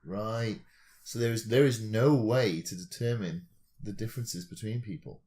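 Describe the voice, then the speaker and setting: A man's voice: lowish voice, normal speed, darkish tone. A man in a casual discussion, reasoning analytically aloud and posing rhetorical questions as a podcast co-host.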